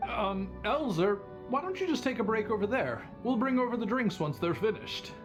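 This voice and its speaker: A man's voice: high voice, lively intonation, normal speed, over music. A man voicing a polite, eager and slightly nervous young bartender in an anime game, courteous and hesitant.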